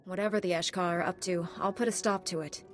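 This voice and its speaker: A woman's voice: lowish voice, fairly even intonation, fast, faint music behind, close to an everyday voice. Resolute woman playing a heroic game knight. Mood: determined. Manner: firm, composed. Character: resolute, heroic young female Jedi knight. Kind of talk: video game character acting.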